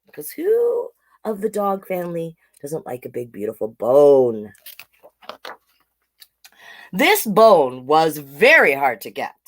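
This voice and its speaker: A woman hosting a live children's storytime, with animated, sing-song delivery and chatty asides. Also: on the low side.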